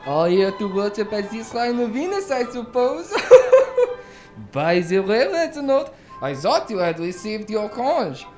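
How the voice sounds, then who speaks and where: Radio drama: woman as pompous male French duke. Teasing, theatrical, slow and swooping, faint music behind. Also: mid-range voice, sing-song, darkish tone. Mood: mocking glee.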